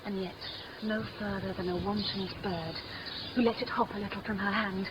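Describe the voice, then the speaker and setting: A woman's voice: mid-range voice, fairly even intonation, normal speed, close to an everyday voice. An actress playing an impulsive, tender young noblewoman in love, speaking theatrical Shakespearean verse in a 1950s radio play style. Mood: lingering, adoring. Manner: breathy, theatrical verse delivery, often hushed. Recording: noisy, dull vintage 1950s radio broadcast.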